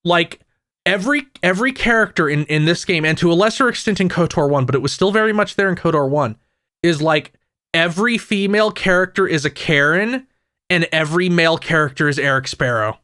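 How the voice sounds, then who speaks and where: A man co-hosting a casual gaming podcast, talking in a rambling way with frequent restarts and quick asides. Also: on the high side, pushed and bright, clean tone, dry recording, clean studio sound. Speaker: podcast co-host.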